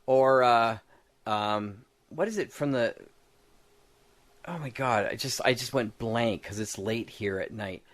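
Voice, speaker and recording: A man's voice: mid-range voice, slow pace, a bit bright. A man chatting casually on a podcast about tabletop games, rambling as he thinks aloud and often checking that he is followed. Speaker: podcast participant. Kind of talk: casual discussion of tabletop game design.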